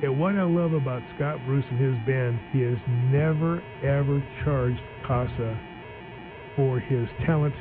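A man's voice: medium pitch, measured pace. Chatty man hosting over tinny radio. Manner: chatty and upbeat, with fillers and restarts. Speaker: radio talk show host. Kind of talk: casual radio interview. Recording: tinny phone-like broadcast with music.

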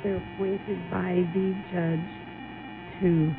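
Gravelly woman guest on tinny radio. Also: lowish voice, level tone, drawn-out words. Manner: earnest explaining, with many ums. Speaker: volunteer program guest. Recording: tinny phone-like broadcast with music.

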